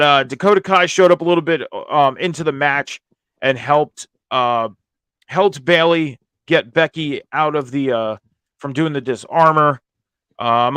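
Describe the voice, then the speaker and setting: A man with a hoarse voice, strained from screaming at a concert, hosting a casual, informal review and commentary show about wrestling. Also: fairly high pitch, a bit bright, no room sound.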